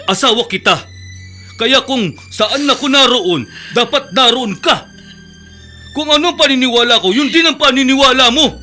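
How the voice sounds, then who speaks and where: An actor playing a stern, domineering militant husband in a radio drama, speaking in a firm, commanding way. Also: male voice, extremely high pitch, moderate pace, pushed and bright, faint music behind, heavily acted. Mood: angry, commanding. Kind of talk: Tagalog radio drama acting.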